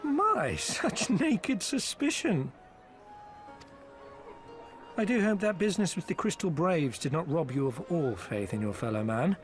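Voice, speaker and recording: An actor playing a smooth-talking, foppish male merchant agent in a game cutscene, speaking in a theatrical, flowery, ingratiating way. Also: a little high, big pitch swings, a little slow. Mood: teasing. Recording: with background music.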